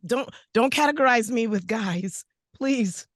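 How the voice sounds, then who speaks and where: Raspy female co-host, animated and exclaiming, a touch high, dry close sound. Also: a little slow, dry recording. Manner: animated, exclaiming, self-interrupting. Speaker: podcast co-host. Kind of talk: casual podcast chat.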